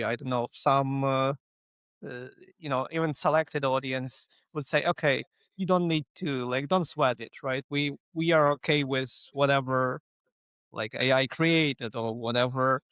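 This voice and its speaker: A man whose first language is Ukrainian, speaking as an interview guest in a halting way, with many fillers and restarts. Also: fairly high pitch, fairly bright tone, no echo. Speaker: guest, localization company founder. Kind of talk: conversational interview.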